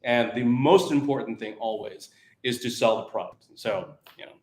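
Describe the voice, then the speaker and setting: A man speaking on a live panel as a voice actor, animated and demonstrative, often repeating words as he explains. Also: mid-range voice, normal speed. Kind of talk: panel talk. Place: event venue, live panel. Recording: echoey room.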